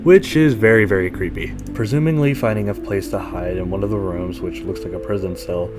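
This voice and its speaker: A man's voice: sits low, brisk. A man hosting a podcast, giving a scripted story recap with informal, wry asides. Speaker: podcast host and reviewer. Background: music.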